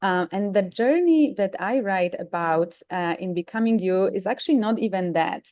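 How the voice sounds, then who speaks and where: A woman's voice: mid-range voice, normal speed, a little mellow, no echo. A woman from Poland with a Polish accent, speaking English as her second language, telling her story earnestly as an interview guest. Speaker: guest author. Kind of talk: interview conversation.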